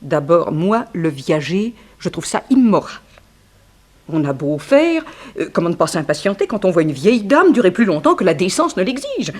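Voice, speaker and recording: Disdainful, embittered goddaughter, vintage French radio play. Theatrical delivery with lively intonation. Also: female voice, on the low side, normal speed. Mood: conspiratorial. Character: bitter, haughty goddaughter, a woman and former dancer. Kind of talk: 1960s French radio drama acting.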